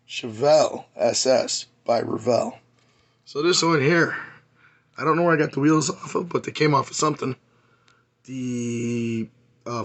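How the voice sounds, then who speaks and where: Hoarse man with a gravelly voice. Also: medium pitch, a bit bright. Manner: rambling, enthusiastic, many fillers. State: hoarse, losing his voice. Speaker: model car builder. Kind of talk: casual hobby update.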